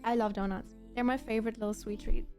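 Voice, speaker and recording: A woman from Germany, whose native language is German, chats casually to her livestream viewers. Her voice sits somewhat high but is very mellow and soft in tone. She talks in a gushing, emphatic way, often repeating herself, with faint music behind her. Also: steady tone, fast talker. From Germany, grew up in Berlin. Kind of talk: casual chat with live viewers.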